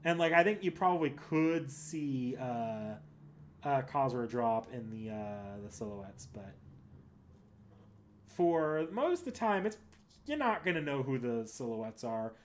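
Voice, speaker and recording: A man's voice: mid-range voice, big pitch swings, unhurried, a little hiss or hum. A man hosting a casual livestream, chatting with viewers in a rambling way, thinking aloud and often correcting himself. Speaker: streamer and host.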